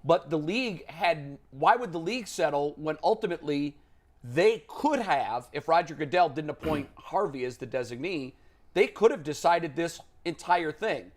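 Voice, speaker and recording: A man's voice: on the high side, animated, normal speed. A man hosting a sports talk panel, making his case emphatically and posing rhetorical questions. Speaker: sports talk show host.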